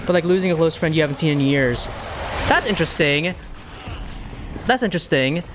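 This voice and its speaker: A woman's voice: sits low, expressive, fairly quick, background noise. A woman giving casual, musing gameplay commentary to her livestream viewers, talking through her thoughts. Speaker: game streamer.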